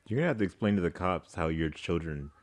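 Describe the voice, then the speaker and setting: A man's voice: low voice, fast. A man giving casual, wry commentary as the host of a movie reaction video.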